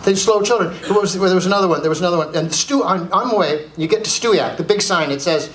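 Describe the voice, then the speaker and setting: A middle-aged Canadian man giving a humorous motivational keynote as an animated storyteller, repeating phrases for emphasis. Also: sits high, fast. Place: indoor speaking venue with audience. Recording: roomy live hall, faint background noise.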